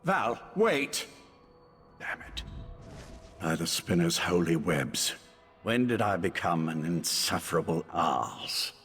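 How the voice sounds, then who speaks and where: Sardonic elf scholar, video game, deep voice, slightly husky, arrogant, bitter. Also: male voice, a bit bright, heavily acted. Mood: self-reproachful. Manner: sardonic, haughty, articulate. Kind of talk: video game character acting. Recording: long hall reverb on voice.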